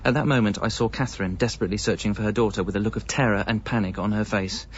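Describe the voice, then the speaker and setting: Low-voiced English actor as an evasive young dad. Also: male voice, steady tone, racing, lo-fi sound, slight background noise, close to an everyday voice. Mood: wry, anxious. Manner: hesitant, self-justifying, wry. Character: evasive, hapless young father narrating his own misdeeds. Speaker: narrator and lead character. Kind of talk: radio comedy narration and dialogue.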